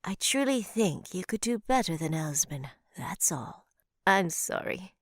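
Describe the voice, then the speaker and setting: A woman voicing a teasing, motherly old forest witch in a visual novel, with theatrical delivery and drawn-out endearments. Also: fairly low pitch, very animated, no room sound, clean studio sound. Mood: amused.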